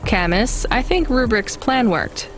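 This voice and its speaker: Casting: calm, wary young female courier for a game, dry and understated, over loud music. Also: fairly high pitch, unhurried. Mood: composed, cautious. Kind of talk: video game voice acting.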